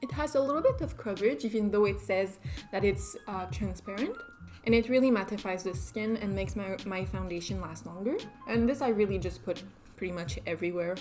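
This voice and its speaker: A young French Canadian woman talks through her makeup routine in an easygoing, self-deprecating way. Her French-accented English sits at a middle pitch, and soft music plays faintly underneath. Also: brisk. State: just woke up. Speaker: beauty vlogger. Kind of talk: casual makeup tutorial.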